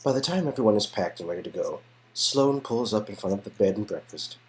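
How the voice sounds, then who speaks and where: A man's voice: lowish voice, animated, brisk, slight background noise. A man performing a casual read-through of an interactive game story, both dialogue and narration.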